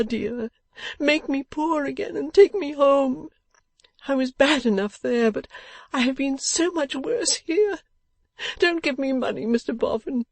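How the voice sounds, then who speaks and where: Reader doing a upset young lady in a storybook, high pleading voice, tearful, dry sound. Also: a little mellow, no room sound, exaggerated voice. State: tearful, impassioned. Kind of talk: dramatised audiobook reading.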